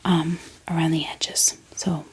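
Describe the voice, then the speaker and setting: A woman speaking in a hushed, breathy whisper, gently explaining things in an ASMR style. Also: sits low, flat delivery. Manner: soft, hushed, unhurried explaining. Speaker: ASMR book haul host. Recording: clear background hiss, handling clicks.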